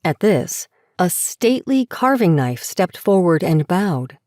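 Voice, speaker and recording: A female narrator reads a scripted story. Her voice sits slightly low, and it is recorded close and dry, with no room sound. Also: sing-song, unhurried, a little mellow, dry recording.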